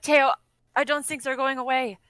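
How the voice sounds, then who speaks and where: A woman plays a frightened young woman in a dramatic reading. Her earnest voice is high and recorded close and dry. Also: steady tone, moderate pace, a bit bright, clear, resonant tone, dry recording.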